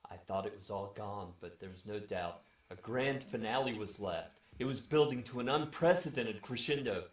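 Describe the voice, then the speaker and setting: A man telling a scripted comic story in the first person, with a mock-formal bedtime storyteller delivery. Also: lowish voice. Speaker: storyteller, webcast regular. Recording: roomy, echoey voice recording.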